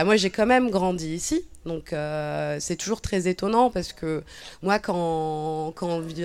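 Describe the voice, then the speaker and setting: A woman's voice: on the low side, clear, resonant tone. A woman in her thirties, born in Cabinda, speaking reflectively and hesitantly as an interview guest, with many fillers and restarts. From Cabinda, Angola. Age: 30s. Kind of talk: personal testimony in conversation.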